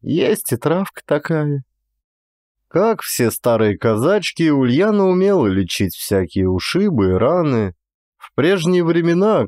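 Male audiobook narrator, medium pitch, expressive range, dramatic literary reading, close dry recording. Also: no room sound.

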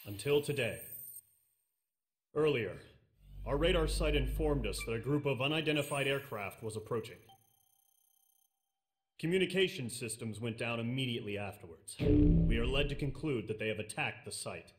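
An actor playing a stern, commanding air force officer giving a formal, authoritative briefing in a video game. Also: male voice, medium pitch, animated, moderate pace, long pauses, soft background music, close to an everyday voice.

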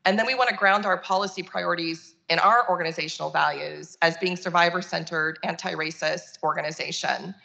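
A woman's voice: on the low side, fairly quick, creaky, hardly any pauses, bright, forceful tone. A woman giving a conversational, earnest keynote talk over a video call. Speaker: keynote speaker, nonprofit chief executive.

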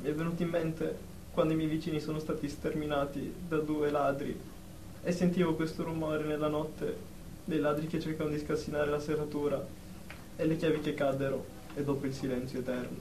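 A jittery, defensive patient played by a man in a radio drama, pleading and easily riled. His voice is a little high, heard off-mic in an echoey room over clear noise. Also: level tone, fairly quick, close to an everyday voice. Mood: anguished. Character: anxious, defensive, short-tempered male patient afraid of sleep. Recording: echoey, distant, noisy amateur recording.

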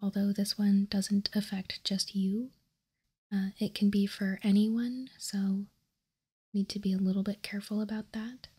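A woman gives whispered ASMR commentary in a breathy, husky voice. She explains calmly and softly, taking her time, close to the microphone. Her delivery stays very even. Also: mid-range voice. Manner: soft, unhurried, calm explaining. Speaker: host of card-game ASMR channel.

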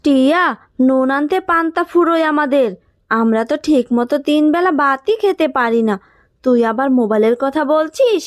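A woman plays a careworn cartoon animal mother in a very high voice. She fusses and scolds in an exasperated but motherly way. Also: animated, moderate pace. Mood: weary, pleading. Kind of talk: Bengali children's cartoon voice acting.

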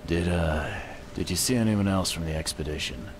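An actor playing a grim, world-weary private detective in a horror video game, gravelly, terse and brooding. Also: male voice, low voice, moderate pace, noisy background, lightly acted. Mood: probing.

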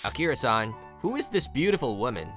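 Woman playing a teasing young man, low-pitched. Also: very animated, fairly quick, fairly bright tone, full character voice. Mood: surprised. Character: teasing, admiring young male office subordinate. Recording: music behind the voice.

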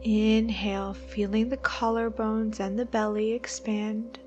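Slow-paced woman guiding meditation over music. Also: a little high, level tone, a little mellow, lo-fi sound. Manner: slow, soothing instructional delivery with long pauses. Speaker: meditation guide. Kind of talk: guided meditation. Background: background music.

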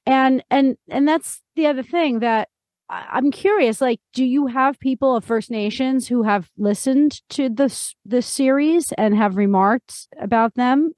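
A woman's voice: sits high, measured pace, darkish tone, dry recording. A woman hosting a podcast interview in a casual, conversational style.

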